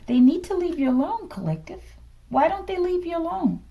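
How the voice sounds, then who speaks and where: Mellow-voiced woman reading tarot cards. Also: very high-pitched, sing-song, fairly quick, vocal fry. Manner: repeats rhetorical questions and verbal tags like "okay". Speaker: tarot reader, host of the reading. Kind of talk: improvised tarot card reading to an online audience.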